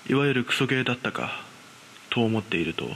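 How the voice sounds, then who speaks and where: A man playing an ordinary, uneasy young man who recounts his frightening experience in a dramatised, measured, suspenseful horror narration. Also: medium pitch, lively intonation, a bit bright, a little hiss or hum. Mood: puzzled, suspenseful. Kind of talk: dramatised ghost story narration.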